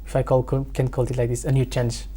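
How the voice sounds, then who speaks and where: A young man from France answering interview questions hesitantly, with many pauses and self-corrections. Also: mid-range voice, completely flat delivery, warm tone, slightly rough. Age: young adult. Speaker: guest.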